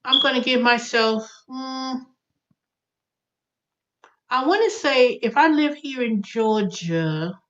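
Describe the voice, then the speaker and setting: A woman's voice: high-pitched, lively intonation, slow, pauses often, a bit bright, dull audio, slight background noise. An American woman in her early fifties chatting casually on a livestream, thinking aloud, repeating and correcting herself as she goes. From United States. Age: early 50s. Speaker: livestream host. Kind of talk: casual rambling livestream chat.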